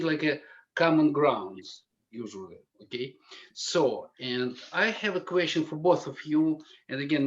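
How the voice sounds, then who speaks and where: A man's voice: on the high side, dull audio. A man moderating a panel discussion, asking questions in a casual, informal way.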